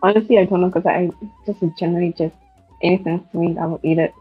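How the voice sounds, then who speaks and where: Hesitant breathy woman, dull lo-fi mic. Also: fairly low pitch, steady tone, quick pace, faint music behind. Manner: hesitant, heavy use of "like". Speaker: podcast guest. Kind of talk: casual chat.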